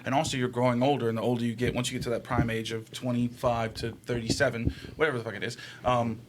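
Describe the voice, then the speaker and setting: A man's voice: mid-range voice, little pitch movement, fast, poor audio. A man in a casual podcast chat, explaining things in a slangy way with some swearing. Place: small room set up as a podcast studio. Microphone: close podcast microphone on a stand. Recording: clear background noise.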